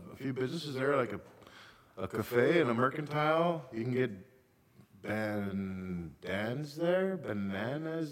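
A man acting as interviewer, asking questions in a casual, conversational way. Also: medium pitch. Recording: echoey room with long reverb.

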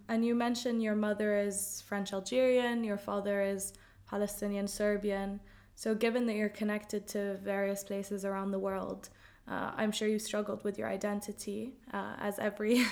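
A woman's voice: mid-range voice, vocal fry, a little mellow. A woman hosting a podcast, opening with a read intro and then asking questions in a friendly, chatty way. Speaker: podcast host. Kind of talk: interview hosting.

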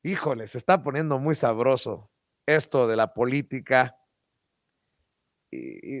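A man's voice: medium pitch, no room sound. A man with a Mexican accent hosting a political talk show, giving colloquial, emphatic news commentary straight to his listeners.

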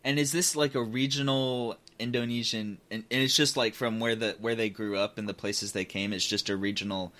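A man's voice: fairly low pitch, fairly even intonation, moderate pace, hardly any pauses, bright, forceful tone. A man hosting a podcast, asking curious follow-up questions in a casual interview. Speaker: podcast host.

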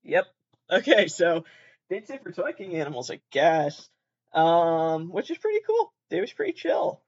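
A man's voice: high voice, very animated, measured pace, slightly rough, dry recording, highs cut off. Very nasal man rambling casually. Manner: rambling, self-deprecating, swears freely, laughs often. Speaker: podcast host.

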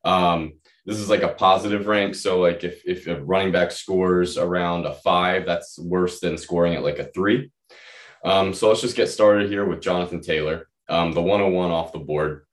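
Deep, slightly hoarse man in echoey room. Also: steady tone, moderate pace. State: slightly hoarse from feeling unwell. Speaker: podcast co-host. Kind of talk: casual fantasy football analysis. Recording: roomy echo, distant from mic.

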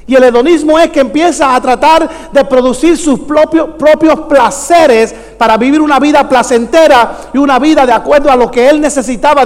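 A male preacher with a very high-pitched voice delivers a sermon in a hall with long reverb. He exhorts the congregation, repeats key phrases for emphasis and keeps prompting them with questions.

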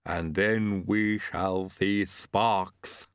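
A man voicing a devoted, secretive male servant who speaks slowly and deliberately. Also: deep voice, fairly even intonation, smooth, dry recording, studio quality, full character voice. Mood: guarded eager. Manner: slow, deliberate and guarded.

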